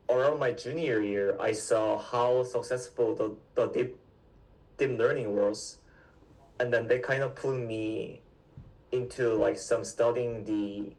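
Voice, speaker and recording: A man in his twenties from South Korea, a guest answering interview questions in a halting way, with many fillers and restarts. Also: on the low side, a little mellow, far from the mic, poor audio. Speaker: guest, PhD student.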